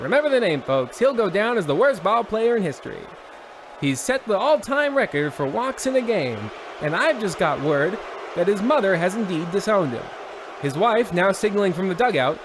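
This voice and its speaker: A man playing an excitable old-time baseball radio announcer with a loud, mocking delivery. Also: sits high, background noise. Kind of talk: mock old-time sports radio commentary.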